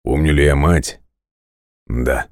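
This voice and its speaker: A man playing a mighty, ageless thunder god in an audio fairy tale, commanding and clearly spoken. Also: no room sound, clean studio sound. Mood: confiding, proud. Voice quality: very deep, resonant, clearly enunciated. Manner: weighty, commanding, deliberate.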